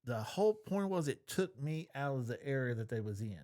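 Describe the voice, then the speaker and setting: Middle-aged male co-host, medium pitch, blunt and opinionated, casual chat. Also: animated, a little fast, darkish tone. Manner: blunt, repeats his point. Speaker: podcast co-host.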